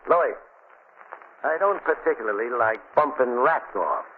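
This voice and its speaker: An actor playing a cold, vengeful gangster boss in 1940s radio style, tough and mocking. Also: male voice, medium pitch, lively intonation, measured pace, lightly acted. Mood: cold, threatening. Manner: tough, mocking underworld slang. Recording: tinny, noisy 1940s radio recording.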